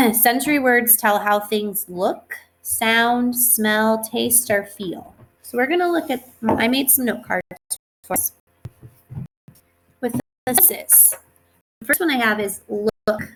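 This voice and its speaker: A woman's voice: fairly high pitch, slow, poor audio. A woman giving a read-aloud lesson to young children as their teacher, instructive, stressing key words and posing questions that she answers herself. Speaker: elementary school teacher.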